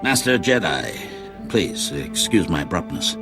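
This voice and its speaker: An actor playing an earnest, serious government official in a sci-fi video game, courteous and brisk. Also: male voice, low voice, big pitch swings, vocal fry, over music, full character voice. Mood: urgent. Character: earnest, urgent Republic official. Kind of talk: video game dialogue acting.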